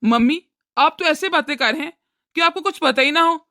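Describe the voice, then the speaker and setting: A woman voicing an exasperated, cash-strapped adult son who protests and reasons with his mother in an animated family drama. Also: high-pitched, brisk, dry recording, studio quality. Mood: defensive, worried.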